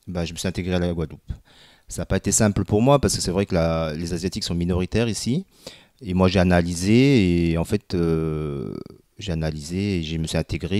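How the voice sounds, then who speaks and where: A man with a very low voice, recorded close and dry with no room sound. He answers as a guest singer in a relaxed, halting way, often pausing to fill gaps. He is from Vietnam. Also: no room sound. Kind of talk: casual interview answers.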